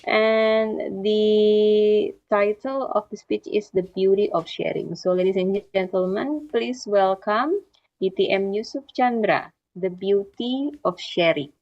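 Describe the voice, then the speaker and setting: A woman speaks slowly and carefully as she formally introduces the next speaker. Her voice comes through a video call with a dull, lo-fi sound. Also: mid-range voice, darkish tone. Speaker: speech evaluator.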